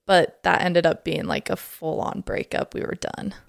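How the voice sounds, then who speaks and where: Young woman, twenties, podcast guest; smooth, dry-sounding voice, chatty storyteller. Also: lowish voice, big pitch swings, moderate pace, no echo. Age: 20s. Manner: chatty, lots of "like" fillers. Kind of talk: casual storytelling.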